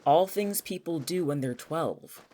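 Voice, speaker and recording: An actor plays a sardonic young community college student in an audio drama. The delivery is blunt and natural, at a middling pitch with very animated swings. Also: measured pace. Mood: deadpan, grumpy. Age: young adult.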